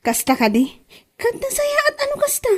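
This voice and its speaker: A woman's voice: extremely high pitch, wildly swooping pitch, normal speed, exaggerated voice. A woman playing a mocking, incredulous female cousin in a comedy drama. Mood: mocking, amused.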